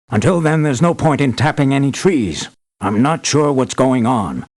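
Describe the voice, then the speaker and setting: Actor as earnest older man, expressive game voice. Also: medium pitch, natural voice. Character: anxious older man, island estate owner and devoted father. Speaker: employer briefing hired mercenaries. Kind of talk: scripted video game voice acting.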